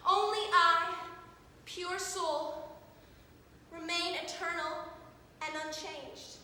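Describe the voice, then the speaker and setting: Very high, slow, solemn universal soul. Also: female voice, steady tone, bright, forceful tone, exaggerated voice. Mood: desolate. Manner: declamatory, mournful, drawn-out repetitions. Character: young actress solemnly playing the lone universal soul. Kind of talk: theatrical stage monologue. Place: theatre stage. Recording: echoey reverberant theatre, faint hiss.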